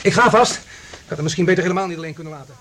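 A man's voice: on the high side, rapid-fire, background noise, natural voice. A male actor playing an agitated, earnest man bringing alarming news in a science fiction radio play. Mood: urgent, agitated. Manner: urgent, earnest, dramatic.

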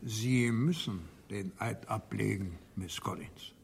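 An actor playing a stern, measured judge in a radio drama, formal and admonishing. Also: male voice, fairly low pitch, gravelly, a little hiss or hum. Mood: firm. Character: stern, measured male judge presiding in court. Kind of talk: German radio drama acting.

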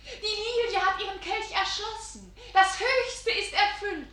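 An ethereal, tender spirit maiden. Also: female voice, extremely high pitch, a little slow, bright, forceful tone, full character voice. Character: ethereal young female serpent spirit, loving. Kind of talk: radio play acting. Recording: distant, echoey, with music.

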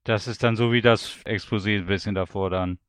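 Guy hosting a casual interview, really clear smooth voice, dry close sound. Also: fairly low pitch, steady tone, brisk, no echo. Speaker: host.

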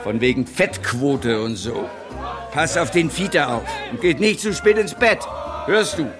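An actor playing a coarse, gruff farm boss in a radio play, giving orders in an earthy, commanding way. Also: male voice, on the high side, lively intonation, measured pace, music in the background, crowd noise. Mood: gruff, bossy.

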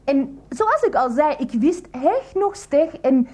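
An actress playing a naive, excitable German-born young housemate in a radio play, gushing with girlish enthusiasm. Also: high voice, slow pace, full character voice. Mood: gushing, excited.

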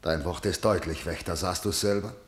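An actor playing an imperious, suspicious king in a verse tragedy radio play, with measured, commanding declamation. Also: low-pitched, roomy sound, lightly acted. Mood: cold interrogation. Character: stern, threatening male king, imperious and suspicious.

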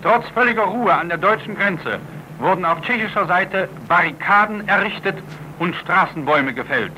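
A man's voice: sits high, animated, normal speed, pushed and bright. A man reading 1930s propaganda newsreel narration in a strident, declamatory manner. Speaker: newsreel announcer. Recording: slightly noisy 1930s newsreel archive.

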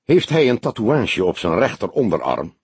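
A husky old male detective, voiced by an audiobook reader, patient and probing. He speaks in measured questions with dry mockery and a lively, varied pitch, recorded close and dry. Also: no echo, heavily acted. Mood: careful. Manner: measured, probing, dryly mocking. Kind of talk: audiobook character dialogue.

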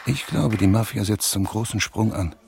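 A man's voice: fairly low pitch, normal speed, gravelly, background noise. A man playing an intense, insistent investigative journalist who is hungry for an exclusive, in a radio drama. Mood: urgent warning. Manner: urgent, insistent, emphatic explaining. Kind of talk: German radio drama dialogue. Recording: music behind the dialogue.

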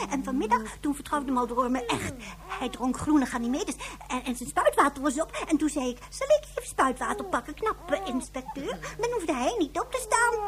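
An extremely high-pitched little girl, bratty and mischievous. She taunts and whines with spiteful glee, in science-fiction radio play style. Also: sharp tone, exaggerated voice. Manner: taunting, giggly, whiny. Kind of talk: Dutch science-fiction radio drama acting.

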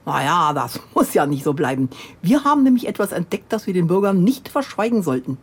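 An actress playing a sly, understated female chancellor in a political satire radio play, with a husky voice. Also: on the low side, big pitch swings, brisk. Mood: quietly scheming.

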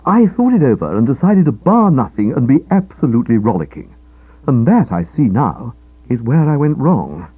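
A man's voice: medium pitch, big pitch swings, moderate pace, slightly rough, dry recording. A man voicing a gloomy, self-pitying young upper-class English writer in a comic audiobook, grumbling theatrically. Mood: aggrieved, dramatic. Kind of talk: comic audiobook character dialogue. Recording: dull lo-fi radio-style recording.

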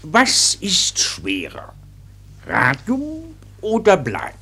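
An actor playing a measured, probing military doctor in a German radio play, questioning formally and deliberately in a husky, breathy voice. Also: male voice, high voice, huge pitch swings, sharp tone, heavily acted.

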